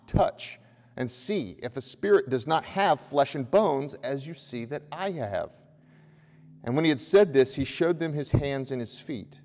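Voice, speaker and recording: A middle-aged man preaching a sermon from a church pulpit, reading scripture and rising in pitch with energy as he presses his points. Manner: animated preaching with raised hands, voice climbing when stressing a point. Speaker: pastor. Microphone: far camera. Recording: long church reverb.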